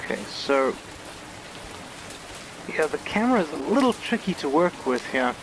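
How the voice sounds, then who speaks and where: A man's voice: a little high, sing-song, moderate pace. Casual male gamer over heavy background noise. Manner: easygoing, thinking aloud. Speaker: game reviewer and player. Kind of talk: casual gameplay commentary with on-screen text read aloud. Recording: very noisy, game ambience behind.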